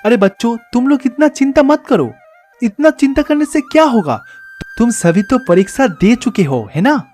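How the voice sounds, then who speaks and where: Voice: mid-range voice, big pitch swings, moderate pace, darkish tone, soft background music, lightly acted. An actor voicing a kindly male teacher, a god in disguise, patiently advising and encouraging children.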